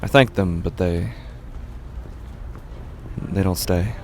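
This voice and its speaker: A weary, resigned street musician, played by a man, narrates his own story in a low voice. His delivery is brooding and understated, an audio drama monologue with faint noise behind it. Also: takes it slowly, darkish tone. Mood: weary, reflective. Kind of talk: first-person horror audio drama narration.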